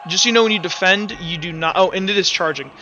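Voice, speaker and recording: Bright, high-voiced man as game master. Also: muffled recording, slight background noise. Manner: halting, thinking aloud while narrating. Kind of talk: running a tabletop roleplaying game, casual.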